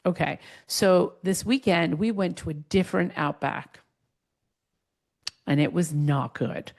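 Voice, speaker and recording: A woman hosting a casual interview, telling stories quickly and with lively energy, with frequent "like" fillers. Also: on the low side, creaky. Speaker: podcast host.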